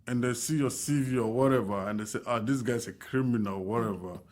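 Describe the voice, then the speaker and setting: A man's voice: medium pitch, brisk, darkish tone. A man whose first language is French, a Red Cross volunteer guest in a radio studio, speaking emphatically with repeats and restarts. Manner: emphatic, repetitive, many restarts. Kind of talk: radio interview. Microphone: studio microphone.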